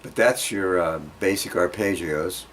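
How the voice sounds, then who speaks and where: Low-voiced man teaching guitar. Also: unhurried. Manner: rambling, self-deprecating, thinking aloud. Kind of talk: informal music workshop lesson. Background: acoustic guitar being played.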